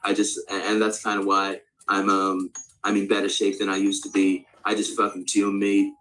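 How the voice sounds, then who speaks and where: A male speaker of around forty from Australia, practising an American accent in a rambling, halting, candid and sweary casual monologue. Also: fairly low pitch, monotone, a bit bright, poor audio. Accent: American, put on over native Australian. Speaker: actor introducing themself.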